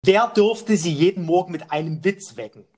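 A cheeky, boozy pub philosopher captain hosts a comic quiz, played by a man. He teases and jokes in a slightly high voice. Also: normal speed, darkish tone. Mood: joking. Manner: playful, joking, teasing. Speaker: host. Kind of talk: comic phone interview quiz.

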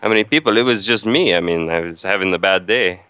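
A man putting on an aggrieved, rambling foreign customer in a prank call, halting and repetitive with melodramatic delivery. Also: low voice, pushed and bright, clean tone, no echo, muffled recording. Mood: defensive.

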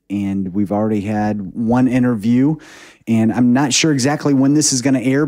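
A man's voice: medium pitch, warm tone. A man hosting a podcast interview, chatty and friendly, with frequent affirmations and restarts. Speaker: podcast host. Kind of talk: casual interview. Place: retreat venue in Germany.

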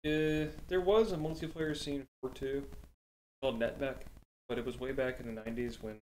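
A young man chatting casually and loudly as a podcast co-host, swearing while he plays a game. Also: mid-range voice, steady tone, moderate pace, low-quality recording. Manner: loud, profane, reacts to the game. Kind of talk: casual chat while gaming.

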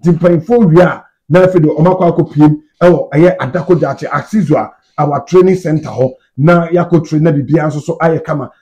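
A man's voice: fairly high pitch, dark tone. A Ghanaian man in his thirties giving casual, conversational football news commentary to the camera as a fan channel host. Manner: conversational, mixes Twi and English. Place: small indoor room at home.

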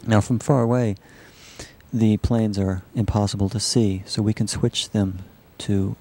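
A man narrating a software demonstration as a researcher, explaining it in a halting, measured way. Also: lowish voice, fairly even intonation. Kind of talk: live demo narration of a computer animation.

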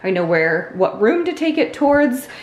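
A woman's voice: fairly high pitch, sing-song, normal speed, lo-fi sound, a little hiss or hum. An American woman in her thirties chatting casually to viewers and explaining things in an informal way. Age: 30s. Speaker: home and cleaning vlogger. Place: indoors in a house.

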